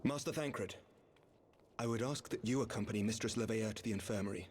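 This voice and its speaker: A man's voice: lowish voice, fast, darkish tone, slight background noise, natural voice. An actor playing a noble knight commander, formal, courteous and composed in his authority. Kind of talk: video game voice acting, dramatic dialogue. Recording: game music under the voice.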